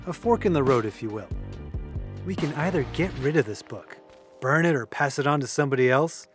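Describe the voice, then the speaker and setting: A man's voice: mid-range voice, lively intonation, a little fast, lightly acted. A man playing a level-headed young housemate who takes charge, in a naturalistic audio drama with casual delivery. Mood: firm, deliberate. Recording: music under the dialogue. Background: music.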